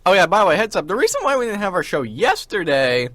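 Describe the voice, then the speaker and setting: A young man hosting a college radio show, chatting casually and slangily, with animated storytelling. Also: high voice, quick pace. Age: young adult. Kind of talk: casual chat.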